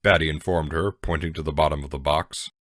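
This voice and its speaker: A man's voice: rumbling low, steady tone, fairly quick, fairly bright tone, dry recording, clean studio sound. A man narrating a scripted fan fiction story as a dramatic reading.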